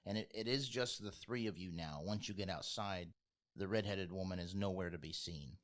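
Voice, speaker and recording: A man narrating a tabletop role-playing game as game master, in a measured, informal, descriptive storytelling style. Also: sits low. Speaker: game master, podcast host.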